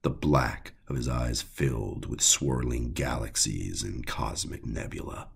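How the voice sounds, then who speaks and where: Extremely deep, gravelly male horror narrator. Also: measured pace. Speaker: audiobook narrator. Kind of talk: scripted dramatic horror narration.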